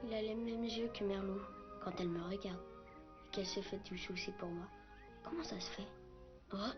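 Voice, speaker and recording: A woman's voice: mid-range voice, normal speed, natural voice. A woman voicing a young jungle boy, wary, earnest and plucky, in a French-dubbed cartoon. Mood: worried. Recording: muffled cartoon soundtrack, loud music behind.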